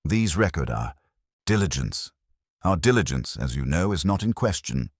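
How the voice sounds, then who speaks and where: Evasive chairman read by a male narrator: very low voice, formal, placating, mystery story. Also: big pitch swings, normal speed, husky, dry recording, clean studio sound, full character voice. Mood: self-satisfied.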